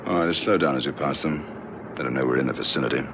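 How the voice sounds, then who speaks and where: An actor playing a gruff, commanding detective sergeant in a vintage radio drama. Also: male voice, extremely low pitch, fast. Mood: watchful, calm. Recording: old radio recording, vehicle noise.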